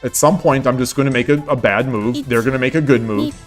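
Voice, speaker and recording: Male streamer, casual thinking-aloud commentary, mid-range voice, soft music bed. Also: brisk. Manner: thinking aloud, repeats and restarts, low-key. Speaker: streamer playing online shogi.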